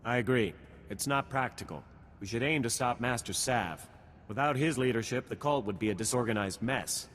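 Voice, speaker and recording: An actor playing a practical, decisive army lieutenant in a video game, in a businesslike briefing tone. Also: male voice, mid-range voice, moderate pace, a bit bright, long reverb tail, slight background noise, natural voice. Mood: decisive, urgent.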